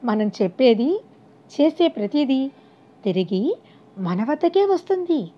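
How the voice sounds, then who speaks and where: A woman's voice: high voice, sing-song, slow, exaggerated voice. A woman voicing a kind, sincere young woman in a storybook reading, on a dull recording. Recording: dull, lo-fi audio.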